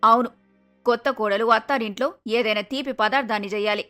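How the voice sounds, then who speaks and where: A woman playing a middle-aged, traditional mother-in-law, anxious and chiding, in an animated moral story. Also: on the high side, quick pace, soft background music, natural voice. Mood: gently chiding. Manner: chiding, pleading.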